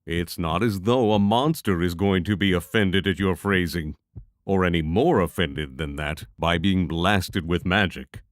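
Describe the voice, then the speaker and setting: A lowish male voice, dry and close, for a wry young mage, played by a man. He is cautious and measured, a wordy spellcaster in a fantasy audio drama. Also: no echo.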